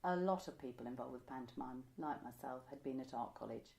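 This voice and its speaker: A woman's voice: rumbling low, brisk, vocal fry, darkish tone. A woman, reminiscing conversationally as an interviewee. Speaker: interviewee, pantomime costume maker. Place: indoors, room by a window.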